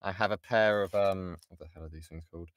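A man's voice: low voice, steady tone, quick pace, clear, resonant tone, no echo. A British man, a voice actor, chatting informally and self-deprecatingly as he answers questions in an online workshop. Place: insulated padded home booth. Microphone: XLR studio microphone.

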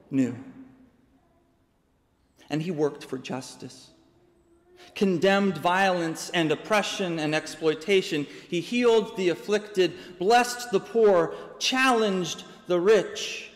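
A young American man, a pastor, preaching a sermon from a pulpit with measured, deliberate pauses. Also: high-pitched, lively intonation. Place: large church sanctuary, preaching from a pulpit. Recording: long church reverb.

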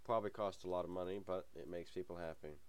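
A young man with a cold talks over a game in a low, deep voice, sniffling as he goes. He rambles, hesitates with plenty of ums, and chuckles to himself now and then. It sounds like an easygoing home let's-play. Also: steady tone, fast talker, a little mellow, clear, resonant tone. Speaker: gaming channel host. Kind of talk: casual let's-play commentary.

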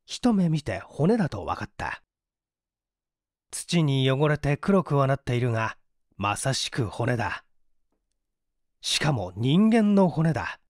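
Expressive male narrator, lively intonation. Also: mid-range voice, no room sound, studio quality. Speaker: audiobook narrator, stage actor. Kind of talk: dramatic scripted narration of a mystery story.

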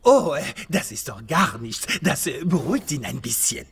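Theatrical French riding instructor, swooping intonation. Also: male voice, on the high side, very animated, moderate pace, gravelly, a little hiss or hum. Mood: flustered. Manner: theatrical, hesitant, peppered with French phrases. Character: fussy, dreamy French riding instructor, easily outraged. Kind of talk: audio play cartoon dubbing. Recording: music under the voice.

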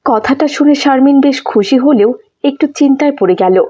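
A woman's voice: sits high, moderate pace, warm tone, muffled recording. A woman narrating a scripted story for a cartoon.

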